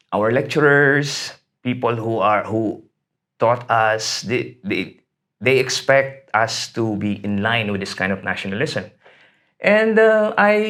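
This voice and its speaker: A man's voice: mid-range voice, a bit bright. A middle-aged man from the Philippines, an academic being interviewed, talking in a hesitant way with many fillers and restarts. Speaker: interview guest, history academic. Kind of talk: conversational interview.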